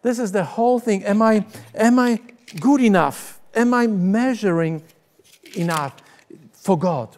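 Polish-accented man preaching, higher-pitched voice. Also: a little mellow. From Poland. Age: middle-aged. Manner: earnest storytelling, gesturing with both hands. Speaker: pastor and teacher. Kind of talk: sermon. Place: church stage with curtain backdrop. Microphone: lapel mic.

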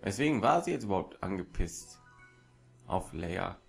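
A man's voice: deep voice, sing-song, moderate pace, creaky. A German man giving casual, chatty commentary over a video game he is playing. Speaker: let's player. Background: video game music and sounds.

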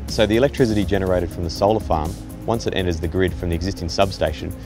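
Male Australian contractor, very low voice, brisk explanatory interview delivery. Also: steady tone, over music. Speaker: electrical contractor. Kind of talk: documentary interview.

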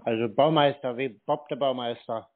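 A man's voice: mid-range voice, fairly even intonation, moderate pace. A man chatting casually on a call, laconic, playing along with naive questions. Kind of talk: casual phone chat. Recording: tinny narrow phone line.

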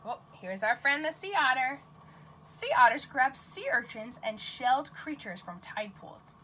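A woman teaching nursery school, reading a picture book aloud to young children in an expressive, questioning manner, with exclamations. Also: high voice, measured pace, a little hiss or hum, rough recording.

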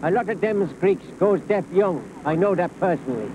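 An actor playing a boastful, excitable pirate captain who also cooks, talking pompously. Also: male voice, sits high, fairly quick, noisy background. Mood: blustering.

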